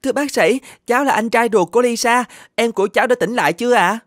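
A woman's voice: a little high, quick pace, dry recording, clean studio sound, natural voice. A woman voicing a caring, earnest orphan boy, a protective older brother in a cartoon, speaking tenderly and politely. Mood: earnest, pleading. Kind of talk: animated children's story dubbing.

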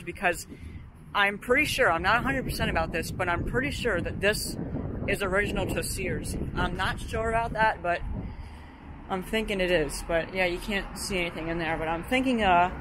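Hesitant man vlogging outside, high voice. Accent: Pennsylvania. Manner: hesitant, many ums and uhs, hedging. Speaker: vlogger exploring closed stores. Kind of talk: casual walking commentary. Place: outdoors in a parking lot. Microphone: handheld camera or phone. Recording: outdoor street noise behind. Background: traffic and outdoor noise.

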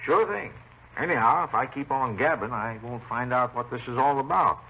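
An actor playing a garrulous, folksy, meticulous retired railroad engineer who carves wood, in a 1950s radio drama. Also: male voice, medium pitch, moderate pace, close to an everyday voice. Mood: chatty, genial. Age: older. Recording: noisy old radio recording, narrow phone-like band.

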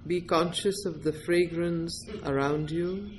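A woman leading a guided meditation as a teacher, giving gentle, deliberate instructions in short phrases with pauses. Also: fairly low pitch, fairly even intonation, slight background noise.

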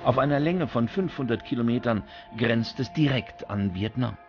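A man's voice: medium pitch, normal speed, creaky. A man reading scripted documentary narration as the narrator. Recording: dull recording with music bed. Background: background music.

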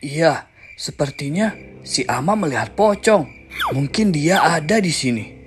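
Woman voicing a low, lively cartoon boy. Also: unhurried. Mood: cautious. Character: cautious, protective boy out at night. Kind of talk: animated cartoon dialogue. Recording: music behind the voice.

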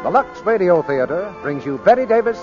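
High booming announcer guy, muffled old broadcast, music loud behind. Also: very animated, brisk. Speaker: radio announcer. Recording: dull old radio broadcast.

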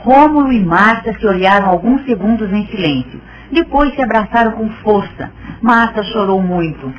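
A woman's voice: mid-range voice, animated, normal speed. A woman narrating a scripted radio drama. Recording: dull lo-fi sound. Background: music.

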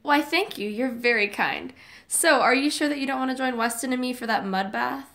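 A woman playing a kind, upbeat high school girl in an amateur audio drama. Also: lively intonation, fairly quick, a bit bright. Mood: friendly.

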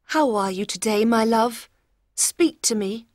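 A man's voice: high-pitched, a little slow, dry recording, studio quality, pitched above the performer's own voice. A man voicing a scheming, lovesick young queen in a storybook, with a pleading, dramatic delivery. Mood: tender, pleading. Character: scheming young queen, mournful, devoted to her secret lover. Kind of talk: storybook character voice.

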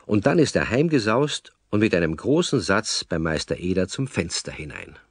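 An actor with a Bavarian accent as the easygoing narrator of a children's radio play. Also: male voice, lowish voice, big pitch swings, moderate pace, breathy edge. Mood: amused telling. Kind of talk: scripted children's story narration.

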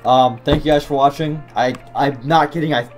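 Brief: young male streamer, a bit high-pitched, hoarse, cursing at his desk. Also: fairly even intonation, normal speed, soft background music. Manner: swears and shouts in frustration. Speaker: gaming YouTuber. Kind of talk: webcam gameplay commentary. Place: small room at a desk. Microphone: desk mic beside keyboard, wearing headset.

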